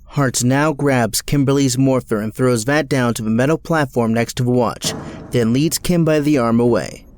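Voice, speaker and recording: A man narrating an audio drama from a script in a measured, dramatic storytelling style. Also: medium pitch, darkish tone.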